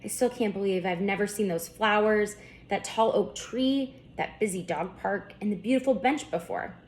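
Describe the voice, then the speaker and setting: A woman voicing an excitable, curious young schoolgirl in a storybook, eager and earnest. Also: medium pitch, moderate pace, natural voice. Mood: sheepish. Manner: eager, wide-eyed, earnest.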